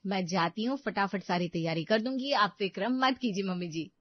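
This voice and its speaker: A woman's voice: mid-range voice, normal speed, no echo, muffled recording, natural voice. A woman voicing a dutiful, emphatic young daughter-in-law in a family drama. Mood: eager, respectful. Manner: earnest, emphatic.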